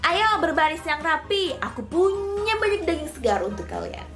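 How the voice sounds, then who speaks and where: A woman's voice: extremely high pitch, big pitch swings, normal speed, music in the background, pitched above the performer's own voice. A woman voicing a sly, boastful trickster mouse deer in a children's storybook, sweet-talking with feigned innocence. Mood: falsely kind.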